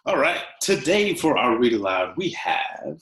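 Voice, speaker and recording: A man reads to young students like a teacher at story time. His pitch moves up and down freely over slow, deliberate phrases. The room adds a noticeable echo around his voice. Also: sing-song, a bit bright. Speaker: teacher reading aloud to students. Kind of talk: children's read-aloud with casual asides.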